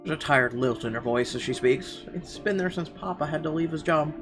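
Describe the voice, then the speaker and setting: A man reading a horror visual novel aloud in an expressive, theatrical narration with weighty pauses. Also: fairly high pitch. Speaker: let's player. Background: game music.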